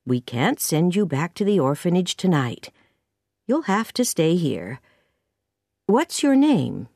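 A woman reader plays a practical, stern woman of a farmhouse in a storybook. She sounds firm and no-nonsense, with lively intonation and a dry, close sound. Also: lowish voice, slow, no echo, full character voice.

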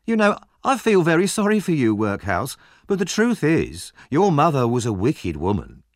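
A spiteful teenage bully from a storybook, acted out by a man. The voice is pitched high and swings about melodramatically, pushed out loud and cowardly at heart. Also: huge pitch swings, moderate pace, no room sound, studio quality. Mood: jeering. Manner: taunting, melodramatic. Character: spiteful teenage bully boy, jeering, then shrieking cowardly. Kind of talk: storybook narration character.

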